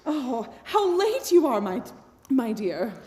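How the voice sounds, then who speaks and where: A woman's voice: high voice, very animated, slow, dark tone, heavily acted. A woman playing an affectionate, fussing mother of a poor family in a radio play. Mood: loving, welcoming. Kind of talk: theatrical radio play acting. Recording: echoey, reverberant hall.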